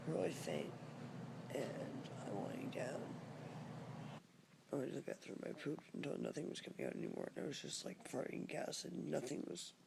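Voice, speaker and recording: A woman vlogging at home in a casual video diary, speaking hoarsely and faintly, often near a whisper. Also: sits low, heavy background noise. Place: at home, lying on the floor.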